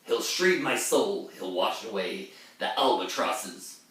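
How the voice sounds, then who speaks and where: A man's voice: lowish voice, animated, takes it slowly, a bit bright. Distant, very echoey recording, man acting as theatrical pirate, declaiming old sea verse, swooping delivery. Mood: exultant, loud. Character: dramatic male pirate reciting an old sea poem. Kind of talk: dramatic verse recitation. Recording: very echoey room, far from mic.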